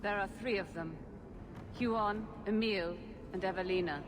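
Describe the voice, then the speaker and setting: An actress playing an emotionless, efficient mage assistant in a video game, formal and precise. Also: female voice, medium pitch, a little slow, lightly acted. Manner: flat affect, formal, precise. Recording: long hall reverb, clear background noise.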